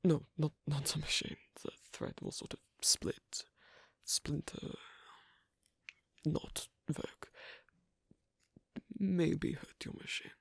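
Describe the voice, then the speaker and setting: Hushed, gravelly, awkward werewolf played by an actor. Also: mid-range voice, huge pitch swings, no room sound, full character voice. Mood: patient, instructing. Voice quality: breathy, whispery, gravelly. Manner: halting, clipped phrasing with dropped articles. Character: asocial werewolf on a first date, awkward, patient. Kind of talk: ASMR roleplay, soft close speech.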